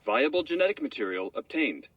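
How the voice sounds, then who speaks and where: An actor voicing a brisk, impersonal machine announcing procedure status in a video game, with a husky tone. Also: male voice, a little high, big pitch swings, slight background noise. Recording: distant, dull lo-fi sound.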